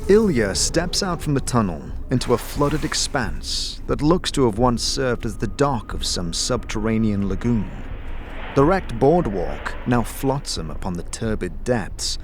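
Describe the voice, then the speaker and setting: A man narrating a fantasy audio drama in the second person, with a deliberate, descriptive storytelling delivery. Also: mid-range voice. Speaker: narrator and game master.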